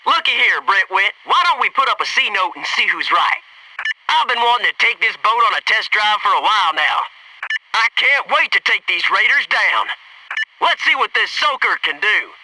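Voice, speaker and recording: A brash American Navy SEAL from a military video game, high-pitched and very sharp, forceful in tone. He is punchy, folksy and wisecracking, swinging widely in pitch as he talks. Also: distant mic, a little hiss or hum, exaggerated voice, rough recording. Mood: confident, breezy. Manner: cocky, folksy, punchy delivery. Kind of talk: military shooter game voice lines.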